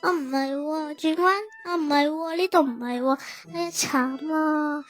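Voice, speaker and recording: A woman's voice: high voice, steady tone, normal speed, faint music behind, natural voice. A woman with a Hong Kong Cantonese accent voicing an earnest, easily flustered young female red blood cell courier in a manga dub. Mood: flustered, lost.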